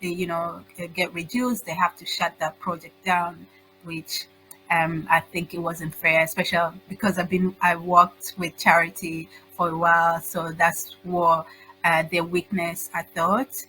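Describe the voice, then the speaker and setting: A middle-aged woman with a Tanzanian accent giving informal, halting answers as an interview guest. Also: fairly low pitch, flat delivery, bright, forceful tone, soft background music, rough recording. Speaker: guest, social entrepreneur.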